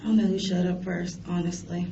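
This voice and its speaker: Female suspect in police interrogation, dark mellow voice, curt defensive denials, distant noisy recording. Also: on the low side, flat delivery, brisk. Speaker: suspect being questioned by police. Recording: distant muffled interview recording, clear background noise.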